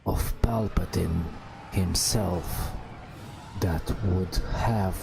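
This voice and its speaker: Very slow, low, whispering man. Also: gravelly, background noise. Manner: hushed, drawn-out phrases with long pauses. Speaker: narrator. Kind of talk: whispered ASMR bedtime storytelling over game footage.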